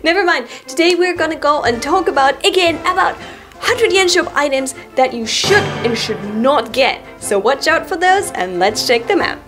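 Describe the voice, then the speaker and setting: A young woman hosting a casual product review to camera in a small studio, bubbly and giggly, sometimes easing into a softer, relaxed tone. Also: high voice, normal speed, music in the background. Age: young adult. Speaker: host presenting shop items. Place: small indoor studio room. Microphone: boom-arm studio mic in front.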